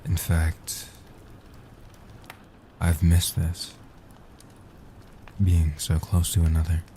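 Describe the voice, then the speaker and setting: A low, soft-spoken immortal vampire guardian, played by a man, in a comfort roleplay audio. He is tender and devoted, speaking slowly and deliberately in a very even, hushed tone. The voice is very dark and warm. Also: a little hiss or hum, exaggerated voice. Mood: intimate, grateful. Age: young adult. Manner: tender, reassuring, slow and deliberate. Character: gentle, devoted centuries-old male vampire guardian.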